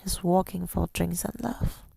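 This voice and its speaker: A woman telling a personal story in ASMR style, softly and breathily, often near a whisper, with a halting, reflective delivery. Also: fairly low pitch, creaky, breathy edge, no echo. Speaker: ASMR creator.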